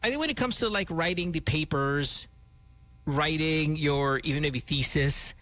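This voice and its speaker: Male radio host. Chatty and joking, drops Tagalog phrases. Fairly high voice, dry studio sound. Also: measured pace, sharp tone, no room sound. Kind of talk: casual morning radio chat.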